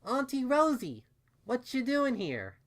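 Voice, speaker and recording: A tiny, innocent unicorn filly acted out by a man for a storybook reading. The voice is pitched way up and bursts out eagerly. It chatters in cheerful, childlike baby talk. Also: wildly swooping pitch, slow pace, exaggerated voice. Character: excitable little unicorn filly, sweet and innocent. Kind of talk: storybook character voice.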